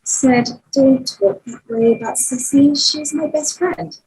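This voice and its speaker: A woman's voice: high voice, slow, darkish tone, poor audio. A woman acting an exasperated, sarcastic young adult daughter at a script table read. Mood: defiant. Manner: dry sarcasm, dramatic.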